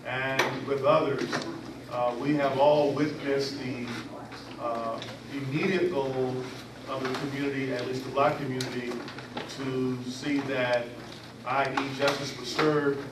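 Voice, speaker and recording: A man chairing a community board meeting, reading out his report with many fillers and restarts. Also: medium pitch. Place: meeting room. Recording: echoey, distant, noisy room recording.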